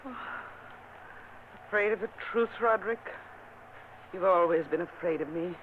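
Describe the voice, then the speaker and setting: A woman's voice: normal speed, natural voice. A woman playing a feverish, bitter dying noblewoman. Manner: intense, accusing, rising to shrill cries. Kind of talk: 1940s radio acting. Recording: tinny old radio transcription with hiss.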